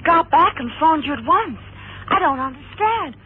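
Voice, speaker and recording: A woman's voice: sits high, animated, normal speed, slight background noise. An actress in a vintage radio drama playing an affectionate, impulsive woman in love. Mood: loving, eager. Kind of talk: 1960s-70s radio drama acting. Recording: tinny, phone-like old radio sound.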